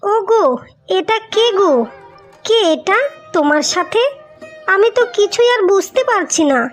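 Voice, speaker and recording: নারীকণ্ঠে খুব চড়া গলার অসহায় গ্রাম্য বউ. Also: female voice, extremely high pitch, lively intonation, a little slow, soft background music. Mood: bewildered pleading. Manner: plaintive, pleading. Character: wronged, heartbroken, pleading young village wife. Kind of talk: melodramatic cartoon voice acting.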